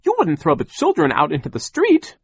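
Man as a kindly, pleading, very animated timid partner. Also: sits high, moderate pace, no room sound, pitched above the performer's own voice, exaggerated voice. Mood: shocked, pleading. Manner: pleading, deferential. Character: kindly, distressed, timid male business partner.

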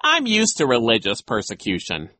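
A man's voice: medium pitch, huge pitch swings, bright, forceful tone, no echo, heavily acted. A man voicing a sly, gloating storybook villain disguised as a gym teacher, drawing out his words theatrically. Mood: sly, feigned politeness. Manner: slow, drawn-out, theatrical delivery.

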